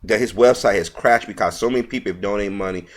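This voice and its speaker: A man's voice: fairly low pitch, quick pace. A man reading news aloud as an online commentator, stumbling over words here and there and speaking in an earnest, opinionated way.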